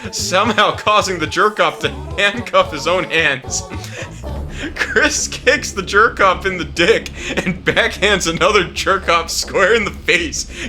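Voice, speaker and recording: A man's voice: high-pitched, sharp tone. A young adult man doing casual host commentary and reading a summary aloud, sweary and amused. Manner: sweary, amused, often breaking into laughter. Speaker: video host.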